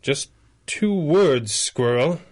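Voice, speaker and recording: A man's voice: fairly high pitch, lively intonation, extremely slow, darkish tone, a little hiss or hum. An actor playing a commanding, skeptical male superhero in a 1930s-style radio drama, with a dry, exasperated manner. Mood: puzzled, dry. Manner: dry, exasperated, clipped authority.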